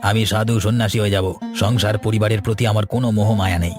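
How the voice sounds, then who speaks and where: A man's voice: on the low side, steady tone, fast, loud music behind the voice, natural voice. A man voicing a stubborn, idle grown village son in a cartoon, argumentative and self-important. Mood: stubborn, lofty. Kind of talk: cartoon voice acting.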